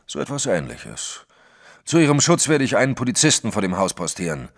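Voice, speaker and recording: An actor playing a wary, plain-spoken private detective in a German audio drama, firm and sceptical. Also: male voice, fairly low pitch, sing-song, fairly quick. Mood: firm, commanding.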